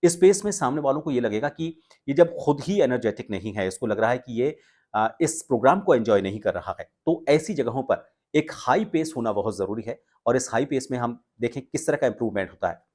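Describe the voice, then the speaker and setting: A man speaks as a voice coach teaching viewers. His voice sits at a medium pitch. He phrases things deliberately, setting key words apart with pauses in a measured, explanatory way. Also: expressive, a little mellow. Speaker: voice training instructor. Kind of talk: instructional talk on voice modulation, presenting to viewers.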